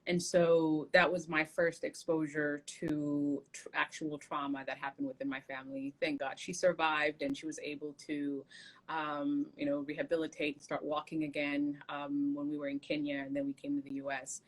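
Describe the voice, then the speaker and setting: A woman's voice: deep voice, little pitch movement, moderate pace, rough recording. A woman from Somalia, a trauma surgeon, telling her story in an earnest, reflective way as an interview guest. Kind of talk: casual conversational interview.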